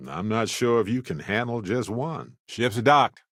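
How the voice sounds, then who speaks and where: An actor playing a wry, adventurous, warm-hearted space explorer companion in a video game, speaking in an expressive, conversational way. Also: male voice, mid-range voice, measured pace, no room sound, clean studio sound. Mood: earnest, reflective.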